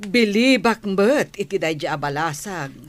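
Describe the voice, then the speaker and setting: A woman's voice: mid-range voice, lively intonation, takes it slowly, no room sound. A woman with an Ilocano accent playing a kindly, older village auntie in a radio drama. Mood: kindly, interested.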